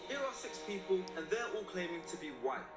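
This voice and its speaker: A man's voice: high voice, expressive, fairly quick. A man presenting an online challenge show, explaining the rules in a scripted intro. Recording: roomy sound under loud music. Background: music.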